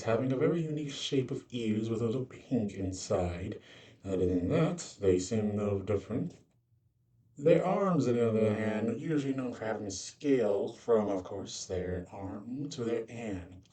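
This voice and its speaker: Male performer as monster-beast narrator for fantasy lore; mellow, dark tone, conversational and rambling, slightly off-mic. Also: mid-range voice, recorded from a distance, natural voice. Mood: explanatory. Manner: rambling, offhand asides. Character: cosmic monster beast presenting its world's species, conversational. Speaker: host introducing fantasy species.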